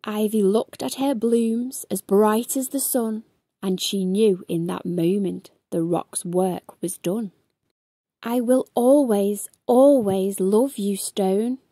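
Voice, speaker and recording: Unhurried, mellow woman reading a storybook. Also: medium pitch, husky, no echo. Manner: measured bedtime-story delivery in verse. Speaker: storybook narrator. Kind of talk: rhyming picture book read aloud.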